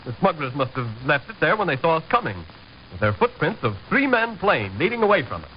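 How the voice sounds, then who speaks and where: Animated, folksy American border officer. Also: male voice, fairly high pitch, a little fast. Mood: excited. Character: forceful but fatherly border patrol officer Bailey. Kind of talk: old-time radio drama acting. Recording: muffled, noisy old radio recording.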